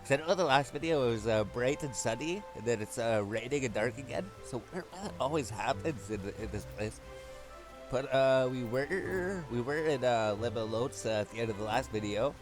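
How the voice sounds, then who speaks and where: A man giving casual, halting gameplay commentary as the host of a gaming video. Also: mid-range voice, animated. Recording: game music behind the voice.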